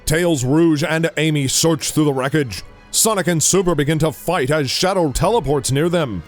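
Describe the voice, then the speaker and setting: A man's voice: on the high side, moderate pace, soft background music. A man giving scripted narration for an audio drama as its narrator.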